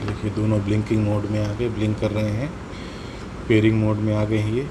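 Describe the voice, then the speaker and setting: A man's voice: lowish voice, monotone, fairly quick, background noise. A man with an Indian accent explaining a hands-on product demonstration step by step, repeating his points. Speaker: host of a motorcycle gear tutorial.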